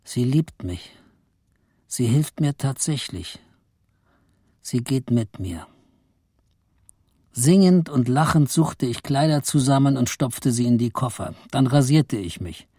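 An actor narrating a radio play in the first person as a brooding husband, reflective and intimate. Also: male voice, medium pitch, expressive, slow, long pauses, mellow, no echo, studio quality. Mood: wry, pleased. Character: brooding husband narrating his own scheme inwardly.

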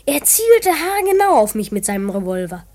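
A woman's voice: high voice, very animated, normal speed, a little mellow. A woman playing an earnest, proud twelve-year-old boy detective in a children's radio play, with a dramatic delivery. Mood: confiding narration.

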